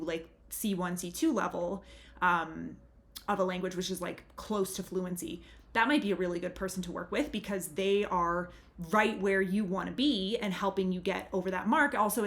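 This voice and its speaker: A woman's voice: mid-range voice, fairly bright tone. A woman from the United States, a language tutor, giving chatty, earnest advice straight to the camera. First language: English. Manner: chatty and earnest, leans on "really" and "super". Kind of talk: casual advice talk to camera.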